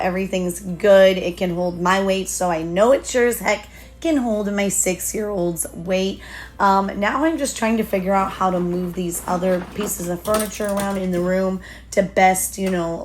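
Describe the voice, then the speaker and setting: Woman, thirties, hoarse voice, chatty upbeat voiceover, mid-pitched. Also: steady tone, normal speed. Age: 30s. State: hoarse, losing her voice. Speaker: lifestyle vlogger. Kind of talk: casual voiceover narration.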